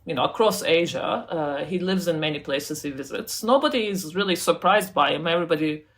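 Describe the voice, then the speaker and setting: A woman's voice: low voice, fairly quick. A woman from Turkey, an author and scholar, talking casually as an interview guest, thoughtful, laughing often and restarting her sentences.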